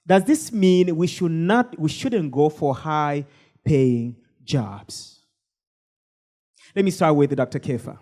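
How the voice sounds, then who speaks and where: A man's voice: on the high side, slow, warm tone. A man moderating a panel discussion, hesitant and full of fillers.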